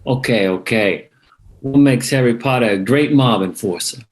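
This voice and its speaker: A man's voice: mid-range voice, normal speed, lightly acted. A man playing a cocky, crude mob boss with a brash manner in a screenplay table read. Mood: jovial, joking.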